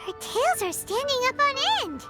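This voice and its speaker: A woman voicing a small, chirpy, childlike fairy companion in a video game, with a squeaky voice. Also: very animated, moderate pace. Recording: music playing behind the voice.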